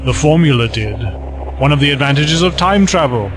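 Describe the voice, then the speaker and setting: A man's voice: a little high, expressive, moderate pace, background noise, lightly acted. An actor playing a cold, commanding male villain in an audio drama, smug and menacing. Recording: music bed behind voices.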